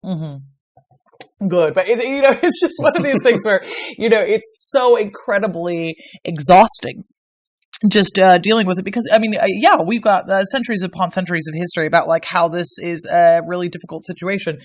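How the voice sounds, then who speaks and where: A woman's voice: fairly low pitch, moderate pace. A woman with an Irish accent, a guest on a podcast, talking casually in a sardonic, sweary way and laughing often. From Ireland. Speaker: podcast guest, medieval historian.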